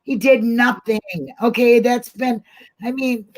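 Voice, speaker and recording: A woman's voice: fairly high pitch, level tone, measured pace. A woman hosting an informal online talk, speaking casually in a blunt, emotional way. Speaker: host of an online livestream show.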